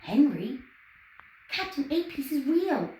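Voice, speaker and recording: A woman voicing a kindly, calm uncle in a children's story, explaining gently and deliberately. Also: sits high, full character voice. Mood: reassuring. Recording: roomy echo, background noise.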